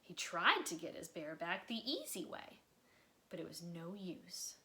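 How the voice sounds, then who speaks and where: A woman reading a picture book aloud for children, expressively, with dramatic pauses and drops into breathy near-whispers for suspense. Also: lowish voice, very animated, pushed and bright, a little hiss or hum. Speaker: volunteer storybook reader. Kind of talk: children's read-aloud storytime narration.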